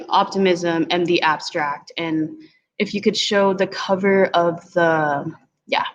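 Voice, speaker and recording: A young woman whose first language is Tagalog, presenting at a virtual community event in a hesitant way with many ums. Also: fairly low pitch. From Philippines. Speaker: community organizer.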